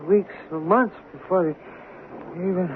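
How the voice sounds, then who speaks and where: An actor playing a gravely ill, guilt-ridden stranger, pleading weakly and haltingly as he struggles to speak in an old-time radio drama. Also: high-pitched. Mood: desperate confession. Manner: halting, pleading, breaking off mid-phrase. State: weak, struggling to speak in pain. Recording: tinny, noisy old radio broadcast.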